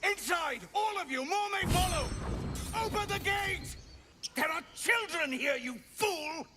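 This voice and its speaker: A man playing a stern, hot-tempered commander at a fantasy gate in a game, giving forceful, commanding shouts. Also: very high-pitched, lively intonation, unhurried, music in the background. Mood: angry, commanding. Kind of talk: scripted game battle acting.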